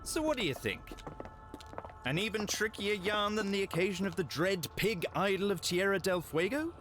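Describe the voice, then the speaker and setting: A chatty gentleman explorer for an adventure game. His well-spoken voice sits quite high. Loud music plays behind him. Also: animated, moderate pace. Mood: jovial.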